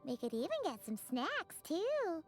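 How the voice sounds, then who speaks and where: A woman playing a small, eager, cheeky fairy companion in a video game, with a childlike, squeaky voice and a perky, blunt manner. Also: huge pitch swings, a little slow, faint music behind, full character voice. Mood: eager, cheerful.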